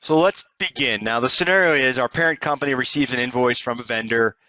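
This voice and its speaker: A man narrating a software tutorial step by step in an explanatory, conversational way. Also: mid-range voice, fast talker, no room sound, dull audio. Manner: explanatory, conversational walk-through. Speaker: software demonstrator.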